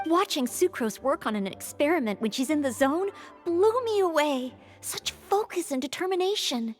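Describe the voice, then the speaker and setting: An eager young maid of a knightly order in a video game. Her high voice swings expressively, courteous and earnest throughout, with faint music underneath. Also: lively intonation, moderate pace. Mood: admiring. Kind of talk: video game character dialogue.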